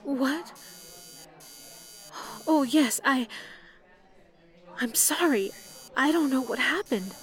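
A woman acting a high-voiced, timid young operator. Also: expressive, very slow, long pauses, fairly bright tone, full character voice. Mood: dazed, confused. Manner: meek, hesitant, polite. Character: dazed, timid young female telephone operator. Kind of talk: radio play acting, 1930s period drama.

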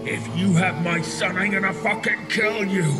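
A man playing an enraged, menacing father who shouts threats in a dramatised horror audio story, with loud music behind him. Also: sits high, steady tone, moderate pace, natural voice. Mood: furious, threatening. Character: enraged father threatening a neighbour over his missing children. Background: loud background music.

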